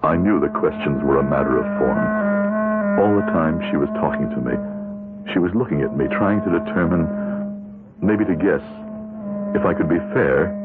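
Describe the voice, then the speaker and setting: Deep-voiced, guilt-ridden American accountant. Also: male voice. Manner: hesitant, brooding. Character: outwardly respectable accountant hiding guilt, anxious and calculating. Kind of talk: 1970s radio drama acting, with inner monologue. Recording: muffled old radio broadcast with music behind.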